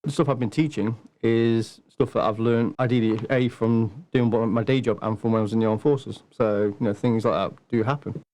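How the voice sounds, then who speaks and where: A man's voice: medium pitch, fairly even intonation, normal speed, hardly any pauses, dark tone. A man, answering casually as an interview guest in a matter-of-fact, explanatory way. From Nottingham, England. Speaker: podcast guest, martial arts instructor.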